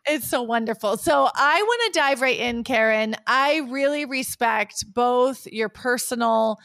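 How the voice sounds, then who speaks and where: Bright, upbeat woman hosting a podcast. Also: fairly high pitch, expressive, measured pace, creaky, dry recording. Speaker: podcast host. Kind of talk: podcast interview.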